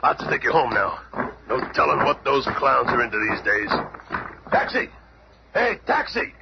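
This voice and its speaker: A gruff superhero brute with a gravelly, creaky growl of ordinary pitch. He grumbles and wisecracks like a hot-tempered working stiff. It sounds like a noisy old seventies radio drama. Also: very animated, moderate pace. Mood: grumbling. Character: gruff, hot-tempered monster-strong superhero, a blue-collar New Yorker.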